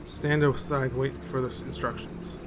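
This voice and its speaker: A man's voice: medium pitch, level tone, normal speed, very noisy, natural voice. A man voicing proud, distrustful hunters from a fantasy game, curt and dismissive, as he reads on stream. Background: game music.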